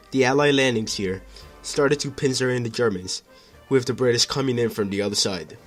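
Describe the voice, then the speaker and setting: A man reading scripted narration in the style of a 1940s newsreel. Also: mid-range voice. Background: music.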